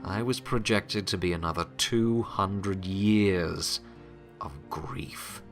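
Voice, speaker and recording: A man acts out a pony who has become Death, weary and wry in an audio drama. His voice sits low and he confides haltingly, full of reflection and remorse. Music plays clearly behind him. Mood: bitter, subdued. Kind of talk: dramatic audio fiction monologue.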